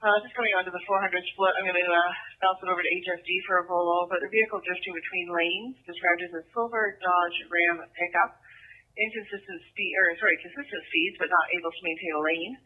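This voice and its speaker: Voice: mid-range voice, fairly even intonation, fast talker, slightly rough, phone-quality sound. A dispatcher relaying calls and details over a two-way radio, heard through a police scanner. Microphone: radio transmission picked up by scanner.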